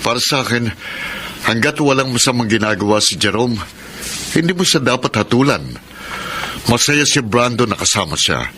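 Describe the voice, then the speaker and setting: A man's voice: mid-range voice, animated, moderate pace, long pauses, sharp tone, a little hiss or hum. A man playing a patient, reasoning estranged husband and father in a radio drama. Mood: calm, reasoning. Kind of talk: Tagalog radio drama acting.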